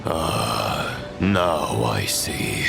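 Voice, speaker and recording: An actor playing a cold, proud, calculating villain in a video game, speaking in a formal, haughty, measured manner. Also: male voice, a bass voice, lively intonation, creaky, full character voice. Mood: cold realization. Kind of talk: dramatic video game voice acting. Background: music.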